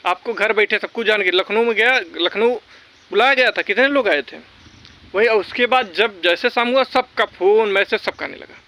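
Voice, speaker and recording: A man's voice: high-pitched, normal speed, sharp tone. A man talking informally and with strong opinions straight to his viewers, exhorting them and repeating his points for emphasis. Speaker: vlogger giving recruitment exam updates.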